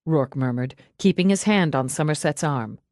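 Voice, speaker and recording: A woman's voice: low-pitched, expressive, moderate pace, a little mellow, no echo, clean studio sound. A woman narrating a novel in a dramatic, scripted audiobook style.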